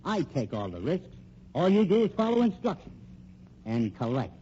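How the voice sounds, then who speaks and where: A man's voice: on the high side, huge pitch swings, moderate pace, mellow. An actor playing a slick, menacing American private detective and con man in an old radio crime drama, wisecracking and pushy in tough-guy slang. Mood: pushy, scheming. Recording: dull old radio recording, faint noise.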